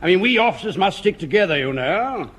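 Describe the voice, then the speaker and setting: An actor playing an overbearing, snobbish older army officer with an upper-class English accent in a broadcast sitcom. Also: male voice, sits high, sing-song, brisk, fairly bright tone, husky, full character voice. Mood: disapproving. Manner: blustering, condescending. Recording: faintly noisy old radio comedy recording.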